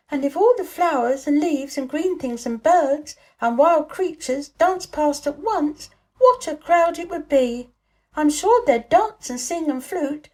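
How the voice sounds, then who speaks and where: Woman voicing a high-pitched, eager little girl. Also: darkish tone. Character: bright eager young girl, curious and dreamy.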